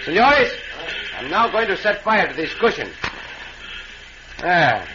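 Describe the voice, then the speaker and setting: An actor playing a confident, gallant Mexican cowboy hero in a radio western, with a Mexican accent and formal, deliberate phrasing. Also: male voice, high voice, sing-song. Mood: cool, assured. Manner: formal, deliberate phrasing with Spanish words sprinkled in. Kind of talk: old-time radio western acting. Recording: noisy muffled old radio recording.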